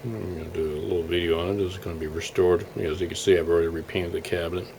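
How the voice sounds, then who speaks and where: Male hobbyist presenter, low creaky voice, informal and halting delivery, faint background noise on a camera mic. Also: level tone, a little mellow. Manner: halting, thinking aloud while showing parts. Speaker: hobbyist radio restorer presenting his project. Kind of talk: casual informal video walkthrough.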